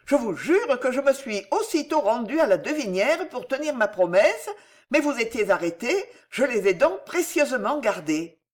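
Woman acting a flustered, high-pitched old woman. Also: very animated, unhurried. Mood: pleading protest. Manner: voluble, protesting, pleading. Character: flustered, devout, gossipy old woman, anxious to please. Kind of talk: storybook character acting.

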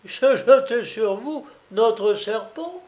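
A man reading a storybook aloud, voicing a huge, puffing fairground woman who scolds in shrill shrieks. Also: a little slow, darkish tone. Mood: furious. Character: huge puffing woman showman, furious and loud.

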